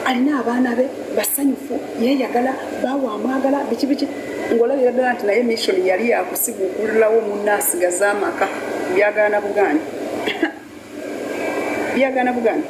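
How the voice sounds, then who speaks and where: Sex: woman. Role: gossip news host. Style: chatty, opinionated commentary. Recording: echoey room, loud background noise. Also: fairly high pitch. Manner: chatty, opinionated, hums and laughs between points.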